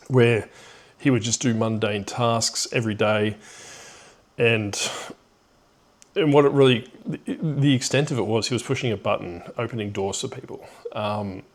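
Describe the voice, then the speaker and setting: A middle-aged man telling a personal story off the cuff in a reflective, hesitant way, with rueful chuckles here and there. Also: lowish voice.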